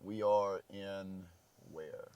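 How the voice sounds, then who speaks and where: A man's voice: low-pitched, fairly even intonation, extremely slow, a little mellow, clean tone, dry recording, muffled recording. A man who is losing his voice, chatting casually and rambling in a parked car. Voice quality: crackly voice. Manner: rambling, easygoing. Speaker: travel vlogger. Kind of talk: casual chat.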